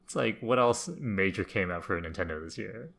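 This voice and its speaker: A man's voice: lowish voice, normal speed, creaky, a bit bright. A man co-hosting a podcast, chatting casually and bantering about video games. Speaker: podcast co-host.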